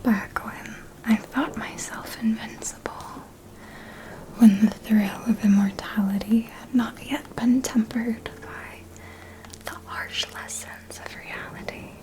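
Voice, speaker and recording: A breathy, whispering female vampire of great age, played by a woman, elegant, seductive and possessive. She speaks very slowly in a fairly high voice, with intimate, theatrical phrasing. It is an ASMR roleplay of a mentor addressing a fledgling. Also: steady tone, poor audio. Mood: wistful, storytelling.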